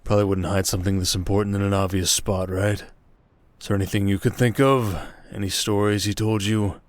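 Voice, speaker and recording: Man voicing merchant lord, low pitch, dry close sound, measured, commanding, stern, protective, roleplay audio drama. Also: flat delivery, no echo, lightly acted. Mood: intent, dry.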